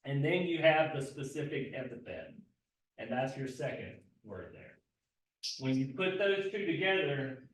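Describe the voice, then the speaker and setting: A soft-spoken man, an extension agent, teaching a gardening class and explaining at an easy pace with frequent fillers and restarts. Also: mid-range voice. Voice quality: soft-spoken, not projecting. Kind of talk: live classroom lecture. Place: indoor class room. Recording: very echoey room, mic far from speaker.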